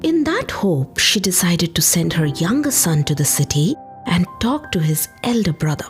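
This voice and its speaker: A woman narrating a folktale for a podcast, with expressive, dramatic and deliberate phrasing. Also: on the low side. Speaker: podcast storyteller. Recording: music behind the voice. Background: background music.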